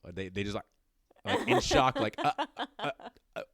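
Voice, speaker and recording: A man in his thirties with a very deep, low voice talks in a loose, rambling way. He keeps stopping and restarting his sentences and laughs often. The sound is dry and close, with no room echo. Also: huge pitch swings, moderate pace, gravelly, a bit bright. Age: 30s. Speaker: podcast co-host. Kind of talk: casual chat.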